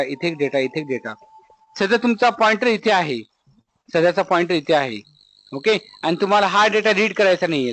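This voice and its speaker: A man's voice: high voice, fairly bright tone, low-quality recording. A man with a Marathi accent giving a step-by-step lecture as an instructor, didactic, repeating points and asking rhetorical questions. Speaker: programming instructor.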